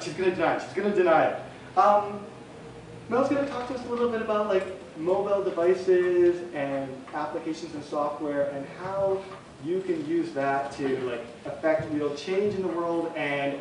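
A man's voice: on the high side, normal speed, background noise. A man hosting an event, introducing the speaker in a playful, joking way, recorded from a camera across a dim meeting room. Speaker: event organizer and host. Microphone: far camera. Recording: distant, very echoey room recording.

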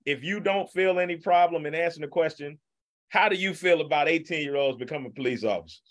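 A man's voice: on the high side, fast talker. A man hosting a video call discussion, asking questions politely with a lot of hesitation. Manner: polite, hesitant, lots of ums. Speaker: host.

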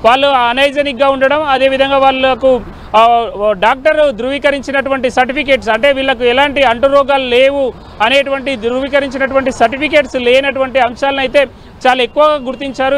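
A middle-aged man giving a halting live news report into a handheld microphone outdoors. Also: very high-pitched, flat delivery, fairly bright tone. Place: busy city roadside, outdoors. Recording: faint outdoor street noise. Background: faint traffic.

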